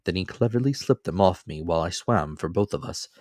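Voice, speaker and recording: A man's voice: low-pitched, lively intonation, fairly quick, a little mellow, dry recording, studio quality. A man reading classic fiction as a dramatic, scripted audiobook narration. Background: faint music.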